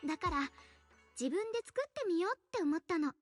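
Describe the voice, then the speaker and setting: Gentle young game heroine, anime style, very high-pitched, earnest, fast, music behind. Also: female voice, animated, no echo. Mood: earnest, reminiscing. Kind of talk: Japanese game voice acting. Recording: game audio with music over it.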